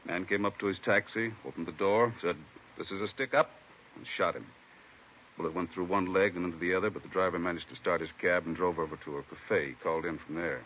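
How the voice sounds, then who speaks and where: A thin, tinny old radio recording of an actor playing a male police chief. His low voice is firm and brisk, giving orders in the manner of a vintage crime drama. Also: steady tone, a little hiss or hum, lightly acted. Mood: grave, brisk.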